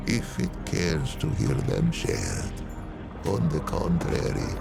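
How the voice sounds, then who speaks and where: Very deep, creaky ancient lich. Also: male voice, heavily acted. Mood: weary, solemn, then delighted. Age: older. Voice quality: gravelly, creaky. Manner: archaic, ceremonious, savouring each phrase, storyteller-like. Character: ancient male lich, once high priest of the dead, wistful. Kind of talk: theatrical fantasy game acting. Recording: loud music under the voice.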